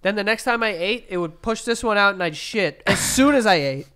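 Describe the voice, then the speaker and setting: A man's voice: high voice, animated, a little fast, sharp tone, smooth. A young man with a slightly congested, nasal voice, chatting and rambling casually as a podcast co-host in a living room on a desk mic. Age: young adult. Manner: rambling, trails off and laughs. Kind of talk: casual chat. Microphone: studio mic on desk stand, headphones.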